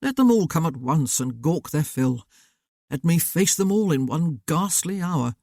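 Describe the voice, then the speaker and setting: A woman reading as a guarded, brooding young gentleman, speaking in short, restrained phrases. The voice is mellow and dark, recorded close with a dry sound. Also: low voice, animated, a little slow, no room sound, exaggerated voice. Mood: restrained, grim.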